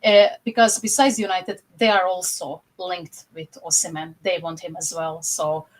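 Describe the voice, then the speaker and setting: A woman's voice: lowish voice, level tone, moderate pace, low-quality recording. A young woman with a Croatian accent co-hosting a casual football chat on a video call. She talks animatedly and fills her sentences with "you know". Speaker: podcast co-host.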